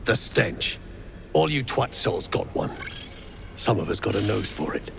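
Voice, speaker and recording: Very gravelly, sneering dwarf thug. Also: male voice, on the low side, big pitch swings, measured pace, a bit bright, a little hiss or hum, exaggerated voice. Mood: menacing contempt. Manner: crude, mocking, menacing. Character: sneering, foul-mouthed male duergar thug, suspicious bully. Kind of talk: video game character acting.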